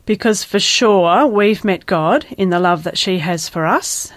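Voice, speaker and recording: A woman reading a personal testimony in a calm, earnest way. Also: mid-range voice, unhurried, dry recording. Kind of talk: scripted first-person testimony.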